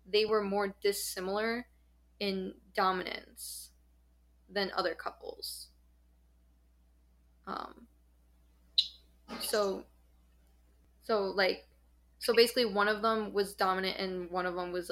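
Young woman, podcast host, casual explaining, middle pitch, lots of ums and likes. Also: a bit bright. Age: young adult.

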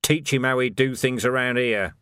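A man voicing a sly, resentful sergeant in an audiobook, grumbling in rough common speech. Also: medium pitch, steady tone, moderate pace, fairly bright tone, dry recording, studio quality, natural voice. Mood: bitter. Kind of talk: audiobook character voice.

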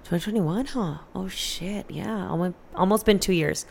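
A woman's voice: mid-range voice, moderate pace, creaky, darkish tone, slight background noise. An American woman chatting casually as an interview guest, candid and informal, swearing freely as she tells personal stories.

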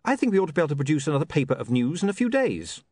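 A man's voice: fairly high pitch, big pitch swings, very fast, darkish tone, dry recording, clean studio sound, pitched above the performer's own voice. A man voicing a flustered, guilty young newspaper man with a hesitant, apologetic manner in an audiobook. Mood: awkward, reassuring.

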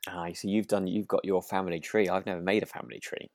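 A man's voice: low voice, little pitch movement, fast talker, a little mellow, no room sound, clean studio sound. A man co-hosting a podcast in casual chat, thinking aloud and phrasing his opinions tentatively. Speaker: podcast co-host. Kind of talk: casual chat reviewing a radio drama.

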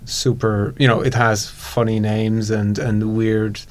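A man's voice: on the low side, little pitch movement, slow. A man with a Hungarian accent, a guest expert on a casual podcast, thoughtful and laughing easily as he explains.

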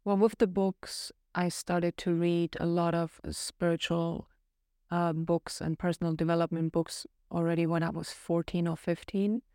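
A woman's voice: lowish voice, level tone, unhurried, dark tone, smooth, no room sound, clean studio sound. A woman from Austria whose first language is German, speaking English on a podcast and telling her own story in a reflective, earnest way. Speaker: podcast co-host. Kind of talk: casual podcast conversation.

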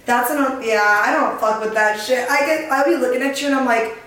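A young woman co-hosts a loose, slangy chat with a raspy, flat-toned voice that sits a little high. She sits back from the mic, and the room is strongly echoey. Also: brisk, far from the mic. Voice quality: raspy, low monotone. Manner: blunt, slangy and laughing. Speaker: podcast co-host. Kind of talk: casual chat.

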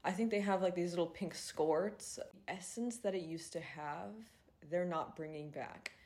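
Congested nasal twenty-something girl, low voice, rambly podcast chat, swears a lot. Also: normal speed, darkish tone. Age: 20s. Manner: chatty, filler-heavy, swears freely. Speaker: podcast host. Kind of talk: casual solo podcast chat.

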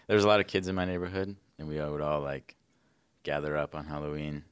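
A man's voice: a bass voice, quick pace, smooth. A man in his twenties, a podcast co-host, laid-back and joking in casual chat. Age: 20s.

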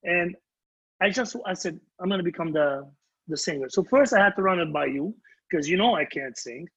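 A man who is a native Arabic speaker, a band leader and singer telling anecdotes in an emphatic, self-deprecating way, repeating his phrases, with a husky voice. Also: high-pitched, expressive, brisk, a bit bright. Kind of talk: animated anecdotal storytelling in conversation. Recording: dull, muffled lo-fi sound.

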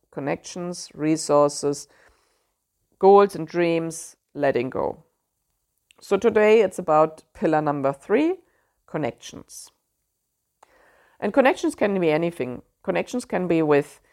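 Woman, German accent, podcast host, low voice, gravelly, pauses to find words. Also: darkish tone. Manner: thoughtful, searching for words with frequent fillers. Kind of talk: solo podcast talk.

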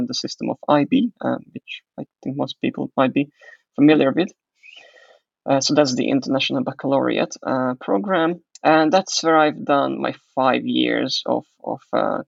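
A man's voice: mid-range voice, vocal fry, no echo, lo-fi sound. A young man from Hungary, a guest in a casual interview, recalling his past in a reflective way. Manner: reflective, restarts and fillers as he recalls.

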